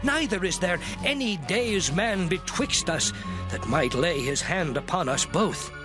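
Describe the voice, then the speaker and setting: A man's voice: high-pitched, lively intonation, measured pace. An actor playing a weary, anguished man pleading with God in a solemn, impassioned, theatrical scripture drama over music. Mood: weary lament. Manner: solemn, impassioned, declamatory, archaic phrasing. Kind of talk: dramatized Bible reading, theatrical monologue. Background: music behind the voice.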